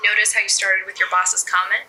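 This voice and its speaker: A woman playing an intern's steady future self in an audio drama, counselling in a measured, coaxing way with small hesitations. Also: mid-range voice, a little hiss or hum, poor audio. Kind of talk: naturalistic audio drama acting.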